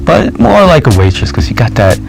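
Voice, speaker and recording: A man's voice: mid-range voice, sing-song, brisk, vocal fry, low-quality recording. Jamaican-born guy in his 30s on a dating show, goofy and chatty, music in the back. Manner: playful, jokey, puts on voices when telling stories. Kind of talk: interview and casual date chat.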